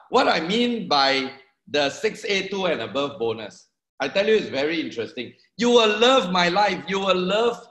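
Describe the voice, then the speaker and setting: A man's voice: high-pitched, fairly bright tone. A man in his forties giving an emphatic, repetitive motivational seminar talk to a live audience, explaining points as he draws them on a board. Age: 40s. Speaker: network marketing speaker. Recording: echoey room.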